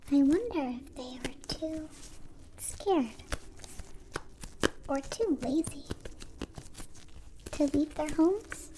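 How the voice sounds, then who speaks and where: A woman voicing a gentle, curious, naive alien in a soft whispered ASMR roleplay, with halting, formal, literal phrasing. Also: high voice, a little hiss or hum. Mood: curious, earnest. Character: gentle, curious, naive captive-born alien, newly befriended.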